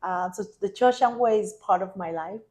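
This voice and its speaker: A woman from Vietnam, a parish council member speaking as an interview guest, earnest and halting. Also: medium pitch, a little mellow. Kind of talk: casual interview.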